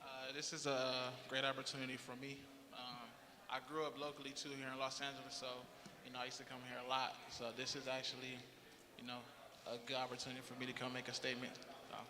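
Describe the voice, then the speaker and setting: A man, a boxer, answering a question softly at a press conference. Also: medium pitch, completely flat delivery, fast talker. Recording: distant, echoey hall with crowd behind.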